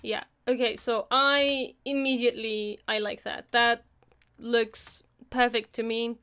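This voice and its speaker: High, clear-voiced woman thinking aloud. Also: level tone, slow pace, sharp tone. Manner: casual, chatty. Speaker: host of an animation live stream. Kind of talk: live software tutorial, thinking aloud.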